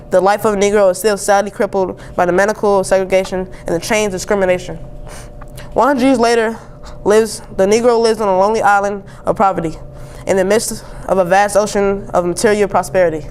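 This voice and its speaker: A woman's voice: mid-range voice, darkish tone, slight background noise, poor audio. A young teenage girl, a student, reading a formal historic speech aloud from a text. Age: young teen, 7th grade student. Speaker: student reader.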